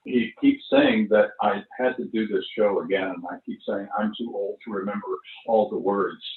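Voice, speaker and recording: Older male guest, measured raconteur, echoey room, thin phone-like audio. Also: lowish voice, steady tone, far from the mic. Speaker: guest, actor and theatre producer. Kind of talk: conversational explanation.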